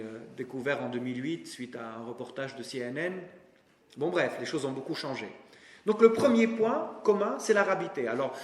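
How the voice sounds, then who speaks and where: Animated man lecturing in echoey room. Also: mid-range voice. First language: Arabic. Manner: didactic, emphatic, rhetorical questions and short punchy asides. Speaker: university professor, lecturer. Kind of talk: public lecture. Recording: roomy, echoing lecture room.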